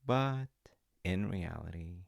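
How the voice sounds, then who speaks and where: A man voicing a caring, patient father in an audio roleplay. He talks softly and reassuringly, sometimes dropping to a whisper. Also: low voice, lively intonation, gravelly, no echo, studio quality, full character voice. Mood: gentle. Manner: soft, reassuring, unhurried, drops to whispers at times. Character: caring middle-aged dad, gentle and patient. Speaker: voice actor.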